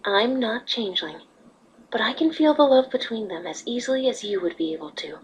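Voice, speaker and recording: A woman voicing a wise, motherly pony ruler in a fan fiction reading, speaking patiently and earnestly with a slightly husky voice. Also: a little high, animated, fairly quick, slight background noise, natural voice, low-quality recording. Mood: patient, questioning.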